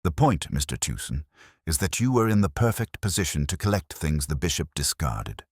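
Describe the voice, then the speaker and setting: A man voicing a calm, polite police inspector in an audio drama, gently and patiently questioning. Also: extremely low pitch, normal speed, slightly rough, no room sound, studio quality, full character voice. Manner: gentle, pleasant, quietly probing. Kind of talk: audio drama character reading.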